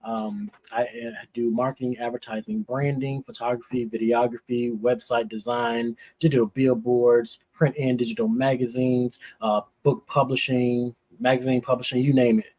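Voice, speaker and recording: Male guest speaker, soft-edged and husky, mellow delivery, sharing testimony and pitching his own work. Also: flat delivery, moderate pace, hardly any pauses, poor audio. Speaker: guest, advocate and entrepreneur. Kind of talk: interview testimony and self-promotion.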